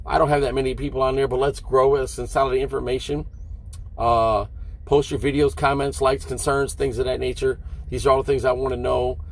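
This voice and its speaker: A man's voice: medium pitch, flat delivery, brisk, gravelly. A middle-aged American man, a truck driver, talking casually to his vlog viewers in a folksy, rambling, conversational way.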